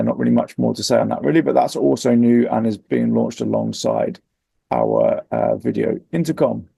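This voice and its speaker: Male product presenter, heavy vocal fry, mellow and husky, informal and explanatory. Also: medium pitch, no echo. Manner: explanatory, matter-of-fact.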